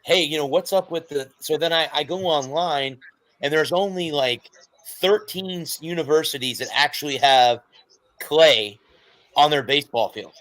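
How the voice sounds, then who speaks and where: A man's voice: fairly high pitch, moderate pace, fairly bright tone, dry recording, low-quality recording. A man co-hosting a casual sports talk show, explaining at length and correcting himself as he goes. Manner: rambling, self-correcting explanations. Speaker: co-host.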